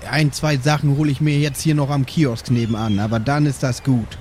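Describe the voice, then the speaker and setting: A man's voice: medium pitch, fairly even intonation, brisk, a little mellow, slight background noise. A man improvising as a hearty, jokey old sailor in a tabletop game. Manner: hearty, jokey, boasts about food. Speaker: player voicing an old sailor. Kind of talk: improvised in-character roleplay.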